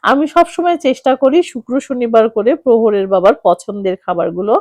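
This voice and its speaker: A woman's voice: on the high side, moderate pace, darkish tone, no echo, clean studio sound. A woman in her thirties with a Bangladeshi accent, narrating her daily family life in a chatty voice-over that speaks straight to viewers. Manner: chatty, addresses viewers directly. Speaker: family vlogger.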